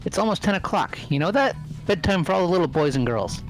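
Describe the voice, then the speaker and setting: A man's voice: high voice, animated, quick pace, faint music behind, poor audio. A man playing a stern, bossy male student in an amateur game dub, brusque and irritated. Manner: brusque, scolding. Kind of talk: scripted amateur visual novel voice acting.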